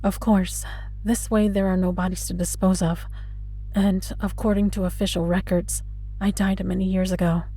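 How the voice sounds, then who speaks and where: A woman playing a vengeful, mocking immortal lab test subject in a dramatic audio roleplay monologue, speaking in a deliberate, taunting way. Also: medium pitch, little pitch movement, warm tone, slightly rough, soft background music. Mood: bitter, accusing. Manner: taunting, sardonic, deliberate, addresses listener with mock endearments.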